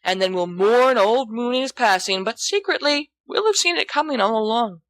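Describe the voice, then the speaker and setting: A woman's voice: a little high, animated, moderate pace, a bit bright, dry recording, pitched above the performer's own voice. A woman voicing a brash, teasing teenage boy in a theatrical, mocking way, as in an audiobook. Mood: gleeful mockery. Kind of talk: expressive audiobook character dialogue.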